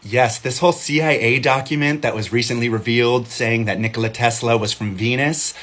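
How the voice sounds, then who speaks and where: A man's voice: medium pitch, fairly even intonation, a little fast, a bit bright. A genderqueer man, a content creator, talks to camera in a sassy, emphatic, lecturing way. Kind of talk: casual talk to camera.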